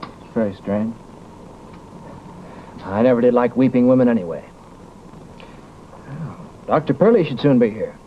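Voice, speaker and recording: An actor playing a self-important, testy scientist in an old radio drama, speaking in a pompous, clipped, theatrical way. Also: male voice, mid-range voice, expressive, unhurried, long pauses, lightly acted. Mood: musing. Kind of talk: old-time radio comedy-drama acting. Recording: muffled, noisy old radio recording.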